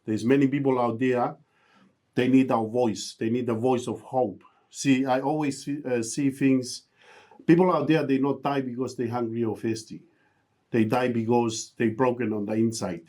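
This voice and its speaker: A man's voice: mid-range voice, flat delivery, moderate pace, mellow. A middle-aged man from Tonga, speaking earnestly as a podcast guest and motivational speaker, his English grammar uneven. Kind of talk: podcast interview.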